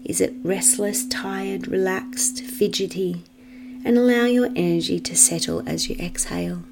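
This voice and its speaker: A woman leading a guided meditation for children in a gentle, measured manner with long pauses. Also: mid-range voice, fairly even intonation, creaky, background noise.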